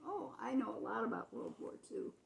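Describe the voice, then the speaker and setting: A woman's voice: on the high side, lively intonation, brisk, creaky. An American woman in her eighties telling childhood memories to family in a casual, explanatory, matter-of-fact way. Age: 80s. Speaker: grandmother recalling her childhood.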